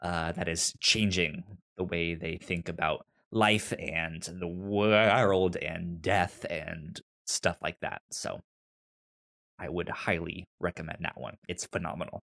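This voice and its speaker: A man co-hosting a podcast in casual chat, with a halting, informal delivery and a pronounced stutter that repeats sounds and syllables. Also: low voice, fairly even intonation, vocal fry, a bit bright. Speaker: podcast co-host. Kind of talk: casual chat recommending and discussing TV shows.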